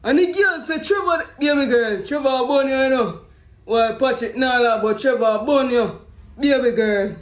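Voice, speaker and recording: A Jamaican man hosting a prank call show, commenting excitedly in Patois, swearing and repeating his phrases for emphasis. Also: very high voice, normal speed. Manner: exclamatory, repeats himself, swears.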